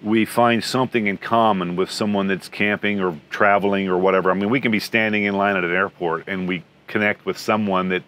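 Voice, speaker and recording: A man's voice: lowish voice, steady tone, brisk, few pauses, a bit bright. A man in his sixties, interviewed outdoors beside a travel trailer, answering in a friendly, rambling way with many restarts.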